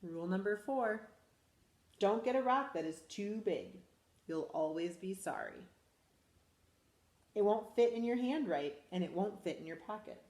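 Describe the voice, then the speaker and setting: A school principal, a woman, reading a picture book aloud to children in a lively, expressive way. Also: medium pitch, unhurried, long pauses, reverberant. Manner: animated, expressive storytelling to children.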